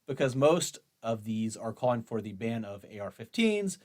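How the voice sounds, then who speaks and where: A man's voice: on the low side, sing-song, normal speed, a little mellow. A man hosting an opinion show, reading an article aloud and commenting on it in an argumentative, dryly sarcastic way. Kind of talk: article read-along with running commentary.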